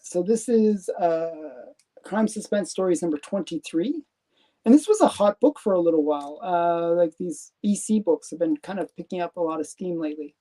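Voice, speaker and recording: A Canadian woman with a Canadian accent, talking casually in a relaxed, rambling way. Her voice is warm and fairly low, and she stops and starts a lot with "um" and "uh". She often laughs at herself as she goes. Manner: rambling, halting, many fillers and restarts. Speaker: comic collector host. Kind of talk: casual live stream show-and-tell.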